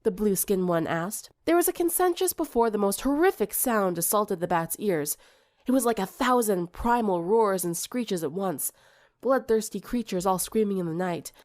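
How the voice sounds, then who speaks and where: A woman's voice: mid-range voice, lively intonation, moderate pace, darkish tone. A woman reading a scripted story aloud as an audiobook narrator. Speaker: audiobook reader.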